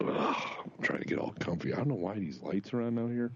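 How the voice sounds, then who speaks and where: A man's voice: lowish voice, expressive, fast, a little hiss or hum. A man co-hosting a podcast in casual chat, with crude, profane joking, on a slightly muffled recording. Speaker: podcast co-host.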